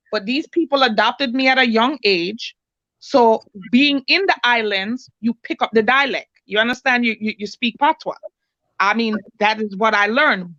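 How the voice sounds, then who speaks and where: A woman's voice: on the high side, pushed and bright, dry recording, highs cut off. A woman with a Jamaican accent, a guest talking emphatically in casual radio chat and often asking if she is understood. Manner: emphatic, repeats "you understand what I'm saying".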